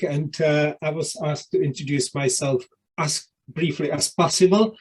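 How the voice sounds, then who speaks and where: Husky czech guy reading his picture book, calm and gentle, video call. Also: fairly high pitch, rough recording. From Czech Republic. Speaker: author and illustrator.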